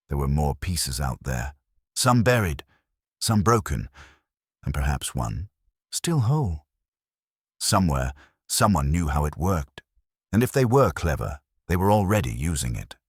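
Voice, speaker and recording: Very deep, husky man narrating a mystery. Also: no room sound, studio quality. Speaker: story narrator. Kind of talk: scripted narration of a mystery story.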